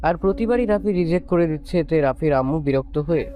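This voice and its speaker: A man reads a romantic story as a scripted narration. His voice sits high for a man, with clear fiddle-tinged music playing behind it. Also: quick pace, warm tone.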